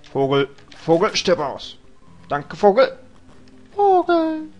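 A man's voice: high voice, wildly swooping pitch, slow pace, dull audio, soft background music. A young man with a cold, casually rambling and joking to himself while commentating a video game. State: has a cold. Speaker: let's play host.

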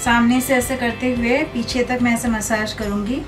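A young woman calmly walks through a hair care routine step by step, speaking like a beauty vlogger in a small room. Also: a little high, normal speed, far from the mic, music in the background. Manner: calm, instructive, steady. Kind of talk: step-by-step tutorial.

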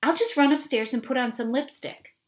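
A woman voicing an excitable, greedy middle-aged mother who keeps exclaiming. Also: a little fast, natural voice. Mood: gleeful. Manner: shrill and exclaiming.

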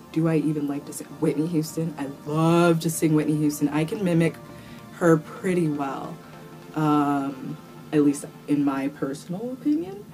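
Rambling young woman vlogger, low voice. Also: dark tone, poor audio. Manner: chatty and rambling, curses casually, many fillers and restarts. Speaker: cosplay vlogger answering viewer questions. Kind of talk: casual Q&A to camera. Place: small indoor room, plain wall.

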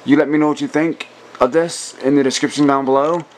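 A man's voice: on the high side, fairly even intonation, a little hiss or hum. A man casually showing and comparing his shortwave radios in a small room, speaking plainly into a camera mic. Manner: plain, unhurried, trails off mid-phrase. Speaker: radio hobbyist. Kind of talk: casual gear demo.

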